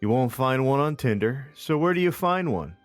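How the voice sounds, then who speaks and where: A man's voice: mid-range voice, lively intonation, normal speed, no room sound. A man co-hosting a podcast, reading a comic story aloud as a storyteller. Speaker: podcast co-host. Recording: dry close sound with music behind. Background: music.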